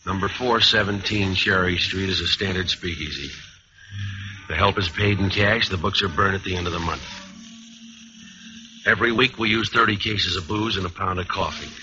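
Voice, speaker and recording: A man acting a cynical, world-weary cornet player in a radio noir, with clipped, deadpan, wisecracking delivery. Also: low voice, lightly acted. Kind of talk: 1950s radio noir acting, hard-boiled narration. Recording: dull, lightly hissy old radio recording.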